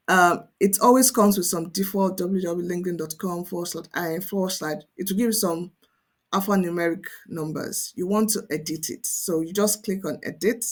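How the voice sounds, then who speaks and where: A woman presenting an online tutorial as a coach, explaining conversationally and emphatically, often checking in with the listener. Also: lowish voice. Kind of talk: instructional screen-share tutorial, conversational.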